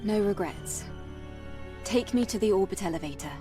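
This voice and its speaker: A woman's voice: medium pitch, lively intonation, moderate pace, natural voice. Woman playing a composed, resolute young soldier. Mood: businesslike. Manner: composed, determined, clipped. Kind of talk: video game voice acting. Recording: slightly distant voice over music.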